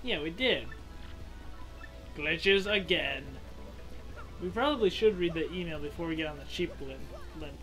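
A man's voice: fairly high pitch, lively intonation, a little slow, long pauses, poor audio. A man giving chatty, joking commentary on a video game in a casual style. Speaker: gaming co-commentator. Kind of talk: casual let's play commentary. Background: video game music.

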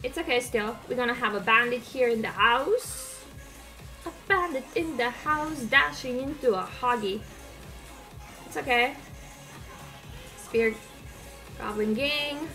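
A woman's voice: a little high, unhurried, pauses often, pushed and bright, soft background music, rough recording. A young woman from Croatia with a Croatian accent, giving upbeat, chatty commentary on her own mobile gameplay from a desk at home. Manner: upbeat, chatty, hums along while playing. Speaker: gaming streamer.

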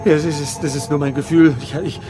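A man playing an agitated scientist full of foreboding in a radio drama, halting and urgent. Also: a little high, level tone, lightly acted. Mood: uneasy, insistent. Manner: halting, urgent, repeats himself. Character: agitated, anxious male scientist, foreboding and insistent.

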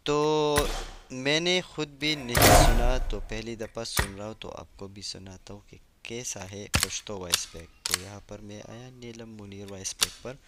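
A man's voice: lowish voice, steady tone, unhurried, very noisy. Casual man, gaming vlogger. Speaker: gaming YouTuber. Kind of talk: casual game walkthrough commentary.

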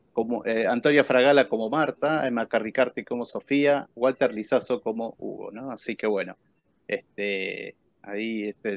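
A man's voice: lowish voice, gravelly, no echo. A middle-aged man with an Argentine accent, hosting casually on a video call. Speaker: radio show host. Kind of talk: casual chat.

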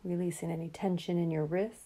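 A woman guiding a relaxation meditation in a calm, soothing, unhurried way, leaving long pauses between phrases. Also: fairly low pitch. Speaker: meditation guide.

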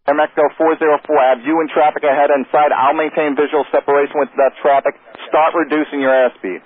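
A man working as an air traffic controller, giving clipped, brisk, procedural instructions over radio. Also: on the high side, level tone, phone-quality sound. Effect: radio transmission.

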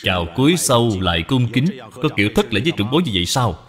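A man's voice: mid-range voice, very animated, very fast, a bit bright. A man voicing a severe, proud middle-aged father in a cartoon, speaking formally. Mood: stern. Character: stern, proud father scolding his child, middle-aged scholar. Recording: long reverb, background music.

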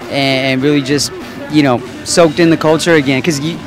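A man's voice: on the high side, fairly even intonation, normal speed, music in the background. Laid-back rambling young man. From Los Angeles. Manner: laid-back, rambling, many fillers and restarts. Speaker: musician guest. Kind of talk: casual interview talk. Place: indoor event backdrop. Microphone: handheld mic.

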